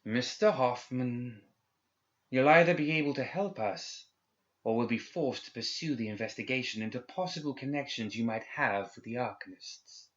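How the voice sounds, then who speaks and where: A man's voice: mid-range voice, normal speed, voice pushed up, natural voice. A man voicing a formal, coercive male official in an audiobook, speaking with polite menace. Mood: veiled threat. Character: smooth-talking, coercive male Guild official. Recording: slightly dull, band-limited recording.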